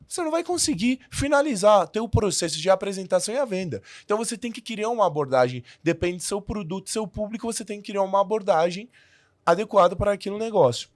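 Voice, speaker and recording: A man's voice: sits high, lively intonation, fast talker, dry recording, clean studio sound. A man who learned the language as an adult, a podcast guest telling his story in a casual way with many restarts and fillers.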